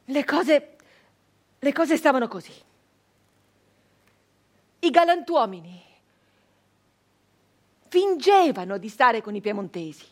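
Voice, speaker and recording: Defiant woman brigand, embittered storyteller of a radio drama. High voice, slow theatrical delivery, weighty pauses. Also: sing-song, full character voice. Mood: bitter, grave. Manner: dramatic, deliberate, with long pauses and swings from hushed to loud. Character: embittered, defiant woman brigand of nineteenth-century southern Italy. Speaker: actress in a dramatic monologue. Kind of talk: theatrical radio monologue, first-person storytelling.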